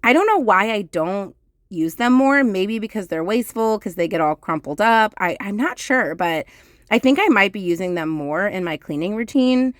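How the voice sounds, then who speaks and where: A woman with a hoarse, crackly, groggy voice, chatting casually and rambling a bit as she narrates her home vlog. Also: mid-range voice, animated, moderate pace, no room sound, clean studio sound. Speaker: home vlogger. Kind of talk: casual voice-over chat.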